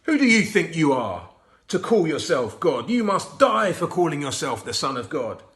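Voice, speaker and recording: A man's voice: fairly high pitch, big pitch swings, moderate pace, fairly bright tone, pitched above the performer's own voice. A man voicing a group of angry leaders in a children's storybook, harsh and accusing. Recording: roomy echo.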